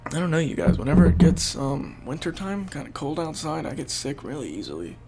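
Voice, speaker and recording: Young male gaming host, congested and gravelly, rambling play-by-play. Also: a little high, sing-song, fairly quick, over loud music. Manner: rambling, thinking aloud while playing. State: congested, losing his voice. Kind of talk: casual gameplay commentary.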